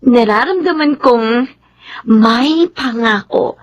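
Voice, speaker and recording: A woman's voice: on the high side, animated, takes it slowly. An actress playing a grateful, tender young woman teacher in a radio drama, with a Filipino accent and an emotional delivery. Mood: hopeful, moved.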